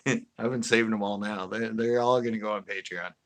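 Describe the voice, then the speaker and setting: A man with a deep, low voice talks casually on a live stream for his fishing channel. His tone is bright and forceful, and the sound is close and dry. He rambles, laughs often and circles back with fillers and restarts. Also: fairly even intonation, brisk, dry recording.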